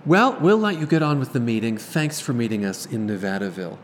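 A man's voice: medium pitch, lively intonation, a little fast, a little hiss or hum. A man with an American accent hosting a radio program, moving between scripted narration and interview questions.

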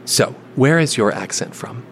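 A man reporting a news piece and interviewing a guest in a measured, inquisitive way. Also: mid-range voice, lively intonation, a bit bright, a little hiss or hum. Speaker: radio reporter.

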